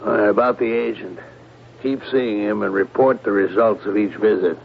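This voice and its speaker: A guarded male chief questions in a low, authoritative voice. He keeps it terse and probing. The vintage drama broadcast sounds phone-like, with faint noise. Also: steady tone, moderate pace, vocal fry. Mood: grave, commanding. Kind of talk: 1970s radio drama acting. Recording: tinny, noisy old radio broadcast.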